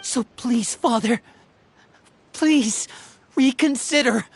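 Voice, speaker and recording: Earnest, higher-pitched pleading youth. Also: female voice, slow, a little hiss or hum. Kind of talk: game voice acting.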